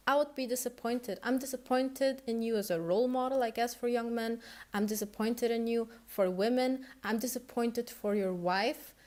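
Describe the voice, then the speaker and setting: Blunt, indignant woman with roots. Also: a little high. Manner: blunt, indignant, swearing often. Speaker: guest speaking on stream. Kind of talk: heated monologue addressed to someone.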